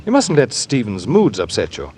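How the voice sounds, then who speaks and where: A self-effacing, sensitive gentleman in vintage radio drama. The voice sits fairly low but swings widely with earnest emotion. Faint noise runs under the old broadcast. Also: wildly swooping pitch, moderate pace. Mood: concerned, self-effacing.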